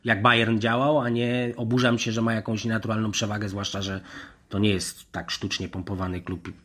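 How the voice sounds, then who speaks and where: A middle-aged man giving conversational football punditry as a panelist, haltingly, often breaking off and circling back to his point. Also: lowish voice, little pitch movement, a bit bright.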